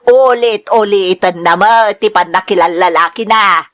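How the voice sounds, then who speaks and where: A woman's voice: mid-range voice, moderate pace, fairly bright tone, lightly acted. Scolding Ilocano mother, indignant, acted by a woman. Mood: exasperated, scolding. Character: angry older mother scolding her son's unfaithful wife. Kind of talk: Ilocano audio drama acting.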